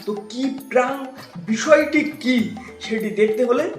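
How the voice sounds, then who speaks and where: A man's voice: extremely high pitch, moderate pace. A young man, addressing viewers as a vlog host. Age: young adult. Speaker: vlogger and channel host. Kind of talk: scripted-sounding vlog intro and outro to viewers. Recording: roomy echo with loud music. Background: music.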